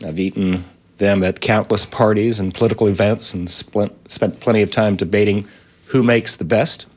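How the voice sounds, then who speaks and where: Low-voiced older male host. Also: steady tone, moderate pace, a little mellow. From Virginia. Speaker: radio show host. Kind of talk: scripted introduction and interviewing.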